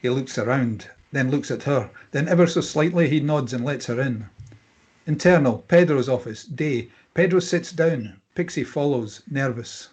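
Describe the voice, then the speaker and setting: A man with a husky voice reading scripted stage directions as narrator at a table read. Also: medium pitch, moderate pace, a little mellow.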